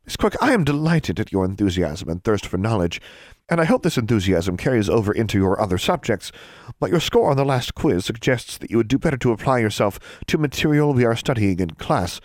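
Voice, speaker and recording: A man voicing a prim woman schoolteacher with a formal, measured, admonishing manner, in an audiobook. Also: medium pitch, very animated, darkish tone, no room sound, studio quality, pitched above the performer's own voice, lightly acted. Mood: stern, reproving.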